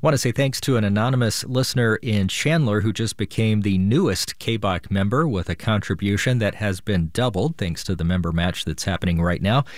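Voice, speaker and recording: A man with a lowish voice lays out a donation match in a persuasive, explaining way. The studio sound is dry, with no room echo. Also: brisk, few pauses. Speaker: radio host. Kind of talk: public radio pledge drive. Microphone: studio microphone.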